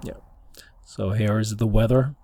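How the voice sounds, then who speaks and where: A man giving an informal, halting explanation as a teacher demonstrating something to a class. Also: fairly low pitch, fairly even intonation, mellow, a little hiss or hum.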